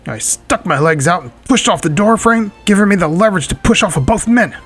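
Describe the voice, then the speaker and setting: An actor playing a hard-boiled, wisecracking private detective in 1940s radio drama style, with a wry deadpan delivery. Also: male voice, high-pitched, a little fast, slightly rough, faint music behind, full character voice. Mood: brooding narration.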